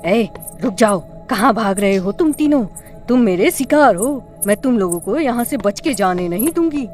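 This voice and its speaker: Cartoon horror witch, played by a woman: man-eater, taunting and threatening, on the high side, light music bed. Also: animated, normal speed, a little mellow, lightly acted. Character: menacing man-eating female witch haunting an old mansion. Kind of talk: Hindi cartoon horror story dialogue.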